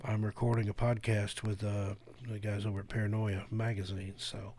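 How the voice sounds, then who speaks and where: A man's voice: on the low side, level tone, normal speed, a little mellow. A man hosting a radio station, making casual live announcements in a rambling, informal way.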